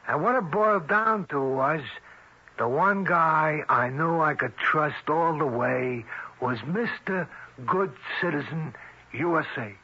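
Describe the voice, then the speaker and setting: A tough, cocky crook in a vintage radio drama. His voice is husky, forceful and fairly high, with streetwise, ungrammatical patter. The old recording sounds dull. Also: heavily acted. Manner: streetwise slangy talk, ungrammatical, persuasive. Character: scheming tough crook, cocky, desperate for a fortune. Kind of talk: 1970s radio drama acting.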